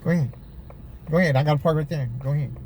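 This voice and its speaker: A man from Houston talks as he drives. He swears freely at other drivers, then chats easily with his rider. Road noise is clearly heard in the car. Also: fairly high pitch, rapid-fire, darkish tone. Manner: cursing at traffic, easygoing with rider. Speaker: rideshare driver. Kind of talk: casual driving vlog chat. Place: inside a car.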